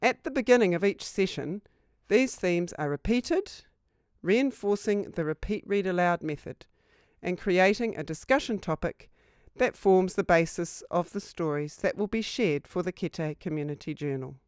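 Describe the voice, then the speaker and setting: A woman's voice: lowish voice, expressive, moderate pace, darkish tone, clear, resonant tone, dry recording, studio quality. A woman reading a scripted instructional narration for a training video.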